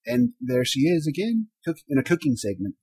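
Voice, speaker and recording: A man co-hosting a podcast in casual chat, animated and jumping between loud and quiet. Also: fairly high pitch, brisk, breathy edge. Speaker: podcast co-host.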